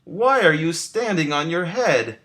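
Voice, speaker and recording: Storybook frog, played by a man: friendly and curious, pitched upward, swooping inquisitive delivery. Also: sing-song, measured pace, a bit bright. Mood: puzzled.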